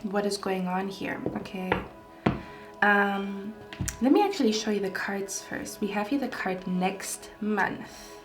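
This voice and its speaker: A woman's voice: mid-range voice, slow pace, music in the background. Rambling tarot reader woman, echoey room. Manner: rambling, filler-heavy, often ends phrases with "okay?". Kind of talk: casual pick-a-card tarot reading to camera.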